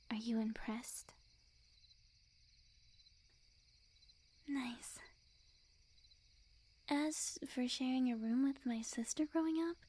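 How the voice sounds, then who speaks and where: A woman voicing a sweet, obsessively lovestruck, smug young witch in an intimate ASMR roleplay, speaking in a soft breathy near-whisper with quiet menace. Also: fairly high pitch. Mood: playful, coaxing. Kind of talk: ASMR roleplay, intimate scripted monologue to the listener.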